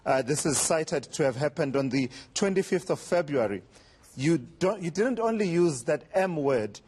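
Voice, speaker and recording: A man interviewing a guest on television, pressing his points in a measured way with frequent fillers. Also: fairly high pitch, animated, a little mellow, slightly rough. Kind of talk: formal TV interview.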